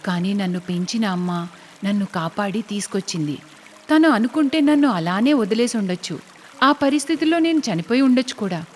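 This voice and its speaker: A woman's voice: mid-range voice, animated, a little fast, a little hiss or hum, natural voice. A woman voicing a plucky young village vegetable seller in a cartoon story, speaking earnestly and respectfully. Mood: earnest, encouraging. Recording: long reverb, music behind.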